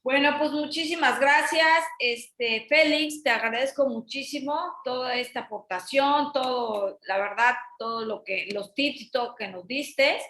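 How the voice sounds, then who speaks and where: High, bright woman in a roomy space. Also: unhurried, few pauses. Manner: friendly, informal with fillers. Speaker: event organizer and host. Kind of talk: online group talk.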